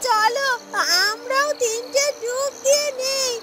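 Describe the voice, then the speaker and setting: Woman playing a whiny, very high-pitched jealous girl. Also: fairly even intonation, takes it slowly. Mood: scheming, excited. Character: jealous, greedy, bossy young stepsister. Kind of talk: fairy tale cartoon acting.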